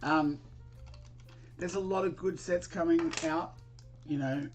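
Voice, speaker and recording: A man's voice: a little high, steady tone, slow pace, soft background music. A man with an Australian accent hosting a casual live stream, chatting informally with viewers and thinking aloud in a hesitant, rambling way. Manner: friendly, informal greetings to viewers. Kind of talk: casual chat while building, answering viewers.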